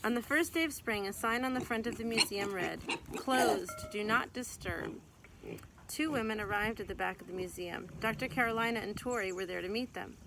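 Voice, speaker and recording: A woman reading a children's picture book aloud in an expressive storytelling way, stretching out some words. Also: medium pitch, moderate pace, creaky, over music, low-quality recording.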